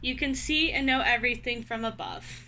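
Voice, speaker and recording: A woman's voice: fairly high pitch, normal speed, pushed and bright, slight background noise. A woman voicing a wise, assured female owl in a children's storybook, making plain declarations.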